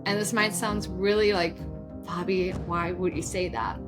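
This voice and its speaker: A woman with a German accent, a non-native English speaker, talks as a podcast guest. She is lively and chatty, packing her speech with fillers. Soft music plays faintly behind her. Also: lowish voice, moderate pace. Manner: energetic, storytelling, says "like" and "literally" a lot. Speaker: guest, side hustle coach. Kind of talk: conversational podcast interview.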